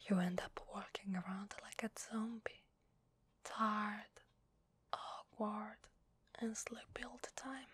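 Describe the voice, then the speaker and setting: A woman with an Italian accent voicing a weary, desperate vampire in a hesitant, breathy ASMR roleplay whisper. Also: mid-range voice, fairly even intonation, fairly bright tone, dry recording, exaggerated voice. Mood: weary, confiding. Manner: hesitant, slow, confiding. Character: weary, desperate, apologetic young-sounding female vampire.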